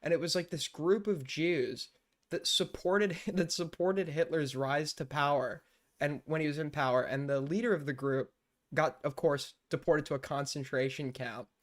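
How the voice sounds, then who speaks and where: A man's voice: a little high, moderate pace. A young man giving casual live-stream commentary, rambling and blunt, with lots of fillers and swearing. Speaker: streamer reacting to news clips.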